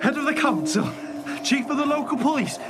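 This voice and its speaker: A man's voice: very high voice, big pitch swings, brisk, heavy background noise, exaggerated voice. An actor playing an anxious, earnest man giving urgent warnings in a radio play, with music behind him. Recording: loud music behind the dialogue.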